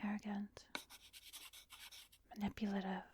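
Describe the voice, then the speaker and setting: A woman playing a composed, soothing therapist in an ASMR roleplay, speaking gently and measuredly, often slipping into a breathy whisper, close to the mic. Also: mid-range voice, monotone, a little hiss or hum, natural voice. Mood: probing, insinuating. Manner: measured, gentle, professional, probing. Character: composed, soothing female therapist, secretly an arrogant vampire. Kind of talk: ASMR roleplay, addressed to the listener. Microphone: close studio microphone, dry.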